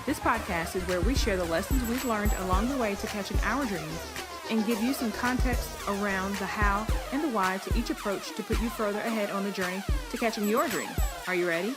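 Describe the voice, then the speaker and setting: A woman reading a polished scripted podcast intro in an even, promotional manner. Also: mid-range voice, a little fast. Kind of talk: scripted announcement. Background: loud electronic music.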